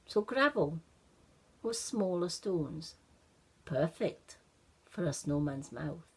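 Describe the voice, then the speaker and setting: A woman narrating a children's story expressively, with hushed wonder and dramatic pauses. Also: sits low, expressive, slightly rough.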